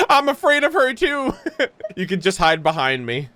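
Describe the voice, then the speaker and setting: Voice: mid-range voice, huge pitch swings, unhurried, bright, forceful tone, a little hiss or hum. A game streamer reacting casually to a story as it plays, exclaiming in slang and swearing freely.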